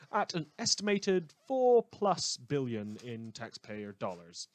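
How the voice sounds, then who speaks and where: A man plays an animated radio news host from a post-apocalyptic world. His pitch swings widely as he delivers wry, emphatic bulletins in a dry, close recording, rambling off into asides. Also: dry recording, natural voice. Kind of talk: scripted fictional radio news broadcast.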